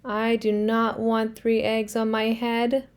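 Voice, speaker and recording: A woman's voice: a little high, monotone, slow pace, clear, resonant tone. A woman voicing an anxious, fretful male elephant in a children's storybook read-aloud, asking worried questions. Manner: worried, questioning, rising alarm.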